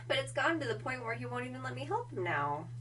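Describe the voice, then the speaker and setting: Girl voice actor as perky starstruck pony girl, really high n bouncy, gushy, noisy far mic. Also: sing-song, fast talker, fairly bright tone. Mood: pleading, adoring. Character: eager young pegasus mare, clumsy starstruck helper. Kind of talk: audio drama acting. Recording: distant mic, noisy, humming.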